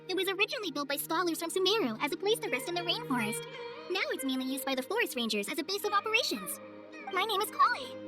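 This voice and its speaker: A woman voicing an earnest, modest young girl, a trainee forest ranger in a video game, polite and hesitant. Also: extremely high pitch. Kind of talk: video game cutscene acting. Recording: music behind the voice.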